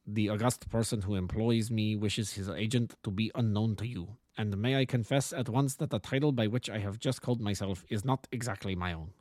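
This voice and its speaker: A man voicing a huge, agitated king in his thirties with a German accent and a deep, harsh voice, speaking formally in a storybook reading. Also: a little mellow, no echo, clean studio sound, lightly acted. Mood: formal, secretive. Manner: formal, grandiose, deliberate. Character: agitated, imperious masked king in his thirties, gigantic nobleman.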